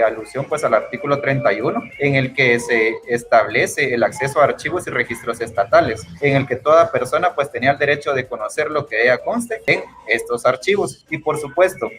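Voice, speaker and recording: A man's voice: medium pitch, a bit bright. A man from Guatemala with a Guatemalan accent, explaining law in wordy, formal phrasing as a panel speaker. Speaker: legal expert, panel speaker.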